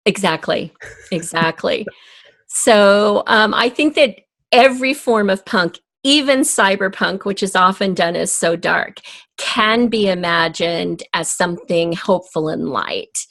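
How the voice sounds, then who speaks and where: A woman's voice: mid-range voice, a little slow, gravelly, fairly bright tone, no room sound. A woman talking as a guest in a conversational interview, explaining her ideas thoughtfully. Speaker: podcast guest, audio drama writer.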